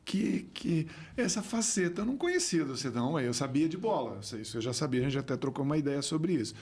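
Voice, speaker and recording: A Brazilian man hosting a casual podcast interview. He keeps the talk moving with quick, encouraging affirmations and a relaxed, chatty manner. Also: fairly high pitch, slightly rough. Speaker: podcast host.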